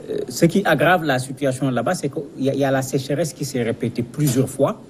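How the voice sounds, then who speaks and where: A man with a mid-pitched voice gives explanatory commentary as a studio guest. He lays out his points didactically, often repeating a word while he finds the next phrase. There is faint noise behind him. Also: brisk. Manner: didactic, repeats words as they search for phrasing. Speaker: guest analyst. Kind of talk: explanatory commentary in a TV news interview.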